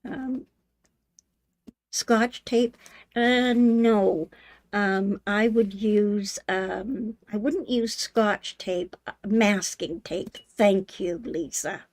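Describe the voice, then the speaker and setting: An older woman shows sewing tips to a live audience, her voice a little hoarse. She talks very slowly and unhurriedly, filling pauses with ums as she works. The sound is dry and close, with no room echo. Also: mid-range voice, darkish tone. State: slightly hoarse. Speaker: sewing channel host. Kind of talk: live sewing demonstration with viewer chat.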